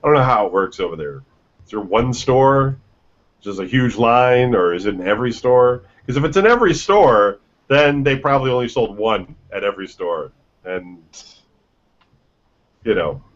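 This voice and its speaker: A man's voice: mid-range voice, sing-song, normal speed. A man hosting a casual gaming news podcast, reading headlines and joking around with loose, swearing banter. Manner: reads headlines then jokes, swears freely. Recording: dull lo-fi podcast audio.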